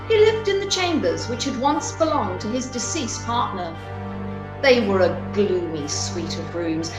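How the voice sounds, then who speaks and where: A woman narrating a scripted radio play as a dramatic storyteller, leaning theatrically on key words. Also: a little high. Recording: echoey room.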